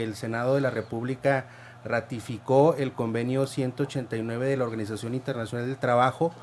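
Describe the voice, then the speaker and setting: A man, speaking as a guest on a panel. His pitch is average. He sounds formal and lays out his points one by one. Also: a little hiss or hum. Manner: formal, lists points in order.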